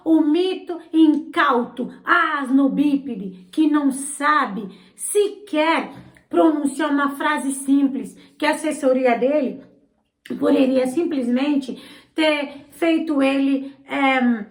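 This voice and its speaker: A woman who speaks Portuguese as a learned language, hosting her channel with indignant, sarcastic political commentary. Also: sits high. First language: not Portuguese. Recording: roomy echo.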